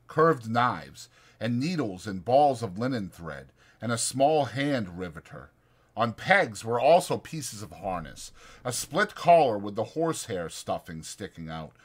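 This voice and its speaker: A male narrator reads literary prose for a dramatized audiobook. His pitch moves in a lively, expressive way as he delivers the scripted narration. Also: husky.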